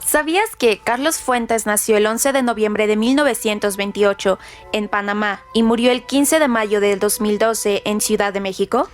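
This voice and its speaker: A woman presenting scripted literary trivia for radio, reading in a formal, deliberate manner. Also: medium pitch. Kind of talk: scripted narration, trivia about a novel. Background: background music.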